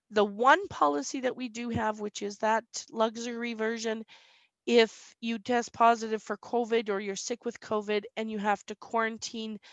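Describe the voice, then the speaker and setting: Woman broker, slightly squeaky, plain explainer with "um"s, dry close webinar sound. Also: dry recording. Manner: reads questions aloud then explains plainly, frequent "um". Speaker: insurance broker answering webinar questions.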